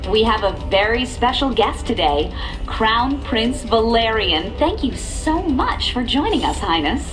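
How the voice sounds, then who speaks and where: An actress playing a bubbly news anchor with a bright broadcast delivery. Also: female voice, a little high, big pitch swings, a little slow, music in the background. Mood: chirpy. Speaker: news host.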